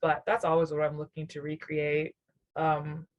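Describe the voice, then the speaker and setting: A woman's voice: sits low, monotone, moderate pace. A young adult woman from near Nashville, a musician guest on an interview show, talking in a rambling, reflective way with many fillers and frequent laughs. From Southern US, near Nashville, Tennessee. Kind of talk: casual interview chat.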